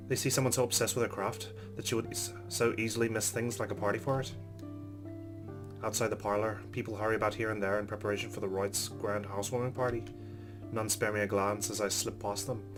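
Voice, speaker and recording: Male let's play host, low voice, rapid pace, reading story narration with commentary.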